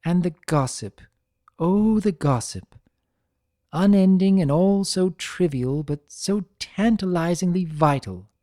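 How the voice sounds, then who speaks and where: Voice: mid-range voice, lively intonation, takes it slowly, dark tone, no echo, studio quality, pitched above the performer's own voice. A performer voicing an elegant, proud and guarded female unicorn pony in a cartoon fan story, with refined, theatrical and precise diction. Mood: wistful.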